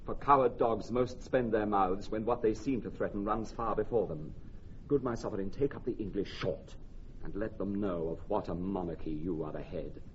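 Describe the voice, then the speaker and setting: An actor playing an arrogant, scornful French prince, hot-headed and emphatic, in a classical radio drama. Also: male voice, fairly low pitch, lightly acted. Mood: urging. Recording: dull, faintly noisy old radio recording.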